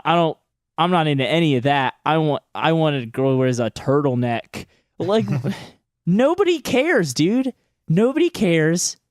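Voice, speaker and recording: A man's voice: on the high side, no room sound, studio quality. A man co-hosting a podcast with casual comedic commentary, ranting in a sarcastic, sweary way. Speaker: podcast co-host.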